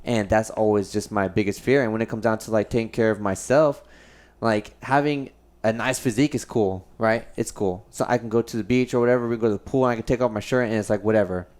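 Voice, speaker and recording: A man's voice: lowish voice, steady tone, fast, darkish tone. A man hosting a casual podcast, talking in a reflective, rambling way with many restarts. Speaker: podcast host.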